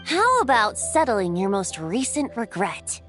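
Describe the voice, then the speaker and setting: A woman's voice: mid-range voice, big pitch swings, unhurried, fairly bright tone, faint music behind. A woman voicing a mischievous wandering bard in a video game, breezy and wry.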